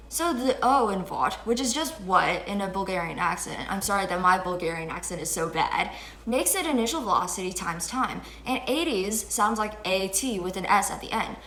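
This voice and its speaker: Bright-voiced teenage girl explaining physics. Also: mid-range voice, a little hiss or hum. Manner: upbeat, chatty, self-deprecating. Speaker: host. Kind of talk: educational video explanation. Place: indoor room with window blinds. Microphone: fixed camera at chest-up framing. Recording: echoey small room.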